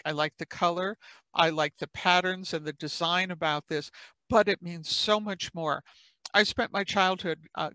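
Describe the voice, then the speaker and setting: A woman's voice: lowish voice, pushed and bright, dry recording, low-quality recording. A woman from the South Side of Chicago with a Chicago accent, giving a chatty online workshop presentation and acting out quoted speech.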